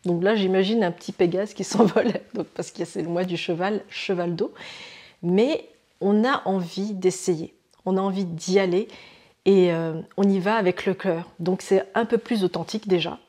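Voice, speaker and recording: A French woman talks casually to her viewers about the month ahead, at a mid-range pitch. She often pauses on fillers and restarts her sentences, then reaches for lively images to make her point. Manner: hesitant, many fillers and restarts, expressive images. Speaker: astrology channel host. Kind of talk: casual explanatory monologue to camera.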